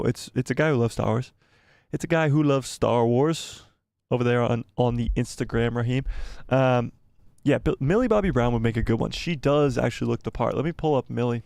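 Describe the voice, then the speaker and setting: A man hosting a live stream, chatting casually and reading out viewer comments. His voice is going hoarse and he rambles and repeats himself as he thinks aloud. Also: mid-range voice, darkish tone, dry recording, clean studio sound. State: losing his voice, coughing. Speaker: livestream host.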